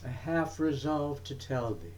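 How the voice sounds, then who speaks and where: A man giving a dramatic reading of verse, in measured, deliberate phrases broken by pauses. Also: medium pitch, a little mellow, a little hiss or hum. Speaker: reader of poetry.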